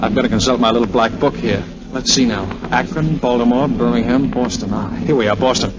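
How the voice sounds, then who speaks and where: An actor playing a breezy, flirtatious army major in a 1940s radio drama, cajoling and slangy. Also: male voice, mid-range voice, a little fast, close to an everyday voice. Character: breezy, flirtatious American army air major, a skirt-chaser. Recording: dull, noisy vintage radio recording.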